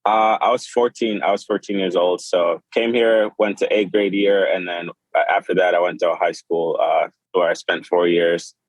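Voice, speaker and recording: A man in his twenties, born in Sudan and raised in Kenya, speaking as a podcast guest in a halting, casual way with many fillers and restarts. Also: low voice, steady tone, no room sound, rough recording. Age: 20s. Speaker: podcast guest, clothing designer. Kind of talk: casual interview.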